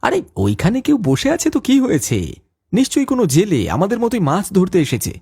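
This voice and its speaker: A man's voice: high-pitched, wildly swooping pitch, fairly quick, vocal fry, a little mellow, husky, dry recording, clean studio sound, full character voice. A man voicing a skeptical young village fisherman in a cartoon, dismissive and mocking. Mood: scoffing. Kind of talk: cartoon voice acting.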